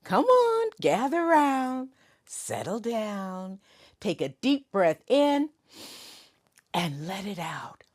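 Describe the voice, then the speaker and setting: A woman's voice: medium pitch, sing-song, extremely slow. A woman hosting a preschool show, telling stories and leading call-and-response in a sing-song, encouraging way for young children. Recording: music under the voice. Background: music.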